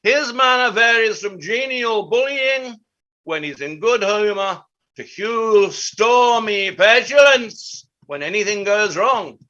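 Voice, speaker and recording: An actor as a pompous, high-pitched playwright narrator. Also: male voice, expressive, pushed and bright, lo-fi sound, exaggerated voice. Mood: emphatic. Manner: deliberate, declamatory, pompous. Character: pompous, exacting playwright narrating his own play. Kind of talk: theatrical reading of stage directions.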